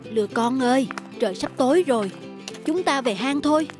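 A woman acting as a fretful mother donkey in a cartoon fairy tale. She scolds and warns in a grown woman's voice over loud music. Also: high-pitched, animated, moderate pace. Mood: calling. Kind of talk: animated fairy-tale dubbing.

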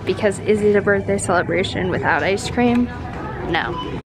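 A woman's voice: medium pitch, expressive, moderate pace, low-quality recording. A young woman chatting playfully on a travel vlog, teasing and giggling, recorded on a handheld camera. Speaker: vlogger. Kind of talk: casual travel vlog chat. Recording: camera audio with clear music behind.

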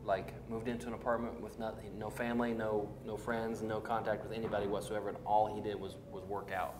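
A man's voice: fairly low pitch, level tone, brisk, noisy background. A middle-aged American man co-hosting a casual geek chat show, often hesitating and repeating himself. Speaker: co-host of a geek talk show. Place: indoor room at a table. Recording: roomy echo, chattering background.

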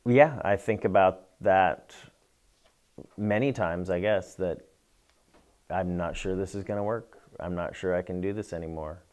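A middle-aged man seated in a small studio, answering interview questions in a thoughtful, measured way with pauses and restarts. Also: low-pitched, darkish tone. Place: indoor studio before a plain backdrop.

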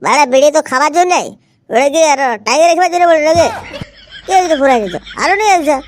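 A hard-drinking village father , played by a woman, speaks in a very high cartoon voice. He whines and argues back stubbornly, repeating himself. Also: animated, fast talker, a little hiss or hum. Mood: defiant, quarrelsome. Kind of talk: comedy cartoon dialogue.

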